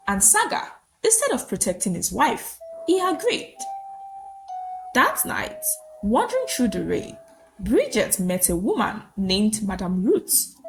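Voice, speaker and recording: Slow, very lively woman storyteller. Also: sits high, low-quality recording. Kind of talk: dramatic scripted folktale narration.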